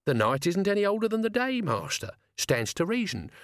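A man's voice: high-pitched, wildly swooping pitch, brisk, no echo, studio quality, voice pushed up. A man voicing a cheeky old manservant, blunt and casual, with colloquial speech and dropped consonants. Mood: blunt, matter-of-fact.

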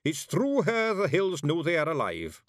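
A man voicing a fierce, blustering tiny fairy warrior chief, loud and gruff. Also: a little high, huge pitch swings, normal speed, no room sound, clean studio sound, pitched above the performer's own voice. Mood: gruff pride.

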